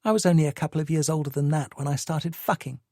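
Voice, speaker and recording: A man's voice: fairly high pitch, fairly even intonation, fast, dark tone, no room sound, pitched above the performer's own voice, lightly acted. A cool, evasive woman speaks in a lifted, clipped voice, dismissive and cutting. A man reads her lines in an audiobook. The recording is dry and close. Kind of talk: audiobook character reading.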